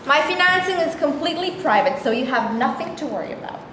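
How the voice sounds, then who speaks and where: A woman's voice: high-pitched, lively intonation, quick pace, a bit bright. A woman playing a naive, anxious, jobless young woman in an amateur staged play reading, earnest and plaintive. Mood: rueful. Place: echoey room, staged reading. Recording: echoey room, clear background noise.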